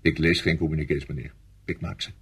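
Deep, very husky army commander. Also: male voice, steady tone, fast, a bit bright, heavily acted. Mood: dry, blunt. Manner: curt, dry, deferential in form. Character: gruff, blunt senior male army commander. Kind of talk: Dutch radio play acting.

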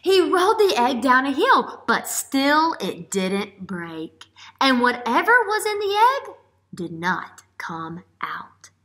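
A woman in her thirties, a teacher reading a picture book aloud to young children and asking them questions with drawn-out emphasis. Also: high voice, big pitch swings, fairly bright tone. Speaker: elementary school teacher. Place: small classroom-like room, indoors. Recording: roomy indoor echo.

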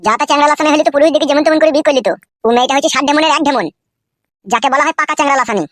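A man's voice: very high-pitched, very fast, a bit bright, dry recording. A man voicing a nervous gossiping village man in a comedy cartoon, confiding and grumbling in a rural West Bengal accent. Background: music.

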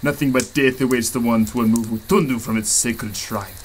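A man's voice: mid-range voice, steady tone, a little hiss or hum, natural voice. A man playing a grave jungle shaman. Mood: grave warning. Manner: solemn, warning, slow deliberate delivery. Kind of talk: radio serial acting.

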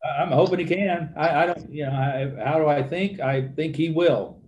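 Older man, halting post-game answers, mellow. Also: on the high side, muffled recording. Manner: halting, repeats words, trails into fillers. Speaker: baseball manager. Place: press conference room with backdrop. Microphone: desk microphone.

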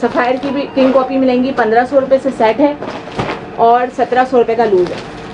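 A woman with a Karachi Urdu accent, a shop owner, brisk and matter-of-fact as she names each piece. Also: high-pitched, fairly even intonation. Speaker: shop owner selling wholesale suits. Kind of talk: casual sales talk. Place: busy clothing shop indoors. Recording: dull noisy shop audio.

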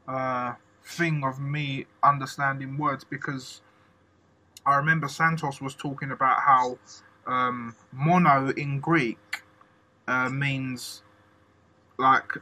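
Very bright-toned, eager male host. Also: mid-range voice. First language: English. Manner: enthusiastic, casual slang, lots of "like" fillers. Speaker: podcast host. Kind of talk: casual conversational interview.

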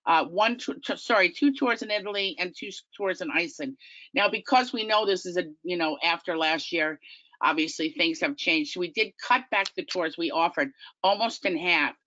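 A woman's voice: on the low side, sharp tone, no echo, muffled recording. A middle-aged woman with a Long Island accent, presenting a tour company's slideshow in a chatty, enthusiastic way. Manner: chatty, enthusiastic, self-deprecating. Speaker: tour company business development manager.

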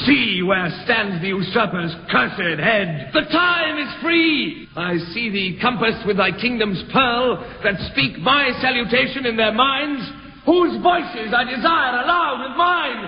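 A righteous, vengeful nobleman warrior declaims in grand Shakespearean radio manner. The speech is slow and theatrical, in a long reverb on a muffled 1950s recording. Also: very high-pitched, animated, slight background noise, heavily acted. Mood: triumphant. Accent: British. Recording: dull reverberant 1950s radio, loud music behind.

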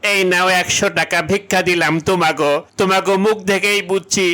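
A man's voice: high voice, steady tone, fairly quick, sharp tone. A man playing a sly, greedy old beggar in a funny cartoon, cheeky and bargaining.

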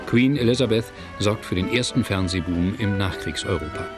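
A man narrating a scripted documentary in a measured, factual manner. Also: on the low side, over music. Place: studio voice-over, music behind.

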